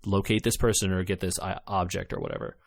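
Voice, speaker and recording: A man's voice: low voice, little pitch movement, fast talker, vocal fry, no room sound. A man co-hosting a podcast, explaining things in an informal, enthusiastic way in casual chat about video games. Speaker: podcast co-host reviewing games.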